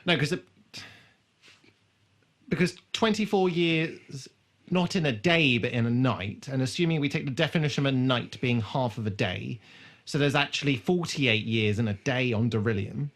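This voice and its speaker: A man co-hosting a casual comedy podcast, chatting in a sweary, animated way and often laughing. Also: medium pitch, normal speed. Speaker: podcast host. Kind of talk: casual comedic chat about a TV show.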